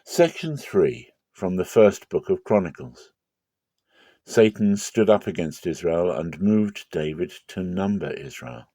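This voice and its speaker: A man giving a solemn, deliberate dramatic reading of scripture as a narrator. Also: low-pitched, animated, dark tone, dry recording. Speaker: scripture reader.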